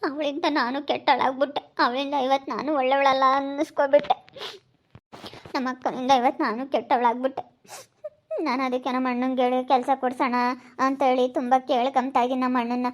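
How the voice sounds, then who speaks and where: A woman's voice: sits high, monotone, fast, natural voice. A woman acting a pleading, devoted younger sister in a family drama, imploring and repeating herself. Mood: hurt, resolved. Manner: repetitive, imploring. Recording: dull lo-fi sound.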